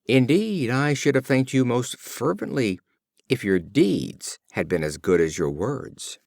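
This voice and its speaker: A man's voice: mid-range voice, expressive, unhurried, gravelly, darkish tone, no echo, studio quality. A man voicing a sly, sardonic fox in a storybook fable, pointed and mock-polite.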